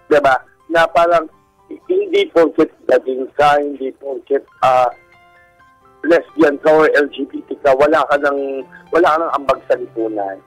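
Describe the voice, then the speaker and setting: Husky, animated Filipino man sharing personally. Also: a little high, normal speed, no echo, soft background music, low-quality recording. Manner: polite, using respectful particles. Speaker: guest telling their own story. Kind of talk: casual personal testimony.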